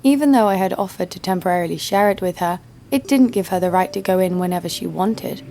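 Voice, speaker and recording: A woman's voice: lowish voice, quick pace, mellow, close to an everyday voice. A young woman playing a sarcastic, foul-mouthed bounty hunter who narrates her own story in an audio drama. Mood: wry narration. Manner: dry, sardonic. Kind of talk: first-person narration and dialogue in audio drama. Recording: music behind the voice.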